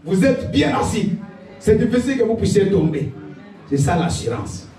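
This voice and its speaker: A male pastor, preaching a sermon, shouting his key points and laughing through his stories. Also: high-pitched, very animated, moderate pace, soft background music. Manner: exhorting, laughing asides, shouted declarations. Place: church. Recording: echoey church hall, picked up from a distance. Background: congregation responding.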